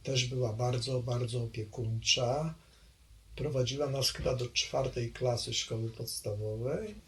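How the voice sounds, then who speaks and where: An older man from Poland recalling his childhood in an oral history interview, hesitant and halting, with many fillers. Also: mid-range voice, fairly even intonation, slight background noise.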